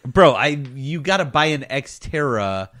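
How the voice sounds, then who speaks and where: A man's voice: medium pitch, animated, slow, a bit bright. A man co-hosting a podcast in casual, slangy, joking chat. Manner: slangy, jokey. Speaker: podcast co-host.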